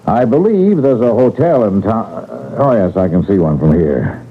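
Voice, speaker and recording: Brisk detective on muffled old radio. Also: male voice, fairly low pitch, sing-song. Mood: commanding. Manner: commanding, matter-of-fact. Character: brisk, authoritative male detective taking charge of a robbery case. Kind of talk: radio mystery drama acting. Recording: muffled narrowband old radio broadcast, faint hiss.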